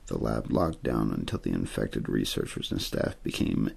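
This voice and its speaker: A man reading a story aloud in ASMR style, soft-spoken and measured, with frequent pauses and a breathy, gravelly voice. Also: a bass voice, sing-song. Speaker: audiobook-style reader for an ASMR channel.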